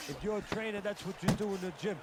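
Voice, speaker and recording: A man's voice: sits high, fairly even intonation, very fast, close to an everyday voice. A man playing an opinionated boxing analyst in a video game, explaining things in an insistent, instructive way. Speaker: boxing color commentator. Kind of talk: sports analysis. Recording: loud game noise behind voice.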